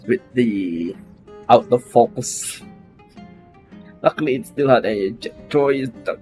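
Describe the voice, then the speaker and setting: A man doing casual live gameplay commentary in short, halting reactions. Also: mid-range voice, animated, dark tone. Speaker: game streamer. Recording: faint game music behind.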